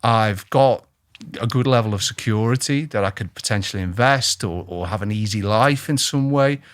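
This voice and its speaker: A man's voice: lowish voice, moderate pace, no echo, studio quality. A man hosting a podcast interview, an eager interviewer who encourages his guest. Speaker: podcast host, CEO. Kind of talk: interview, casual chat.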